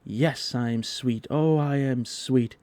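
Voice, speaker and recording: Guy playing a cocky storybook boy, breezy n pleased with himself, lighter higher voice. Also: takes it slowly, warm tone, dry recording, natural voice. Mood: smug. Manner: boastful, breezy.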